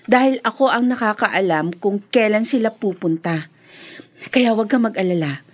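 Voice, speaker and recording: A woman playing a scheming, sweet-talking young mistress in a Filipino radio drama, with a coaxing, expressive delivery. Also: medium pitch, moderate pace, natural voice. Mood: breezy, confident.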